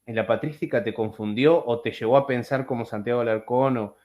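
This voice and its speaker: A man's voice: mid-range voice, steady tone, darkish tone. Man w hosting a video call chat, rambly, lots of ehs and restarts, normal mid voice. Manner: rambling, halting, many fillers and restarts. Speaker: podcast host. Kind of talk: casual chat.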